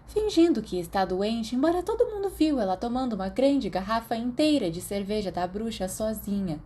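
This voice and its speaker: A woman's voice: fairly high pitch, big pitch swings, moderate pace, mellow, pitched above the performer's own voice. A Brazilian woman voicing a frank, wry, gossipy teenage schoolgirl in an audiobook. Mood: disapproving.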